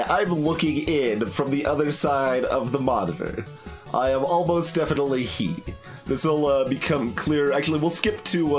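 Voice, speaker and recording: Rambling male game streamer, higher-pitched voice. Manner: rambling, tentative, thinking aloud. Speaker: let's play streamer. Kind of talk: casual game commentary with reading aloud.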